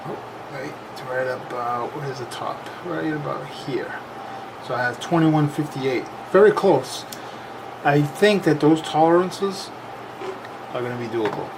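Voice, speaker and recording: Man talking through repairs over loud noise. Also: mid-range voice. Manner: plain-spoken, unscripted, thinking aloud. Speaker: repair video host. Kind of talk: casual hands-on repair demonstration, talking while working. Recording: noisy camera recording with loud background noise.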